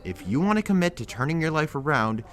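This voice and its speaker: A man's voice: on the high side, big pitch swings, quick pace. An actor playing a blunt young man who pushes his friend with firm, lecturing tough love in an audio drama.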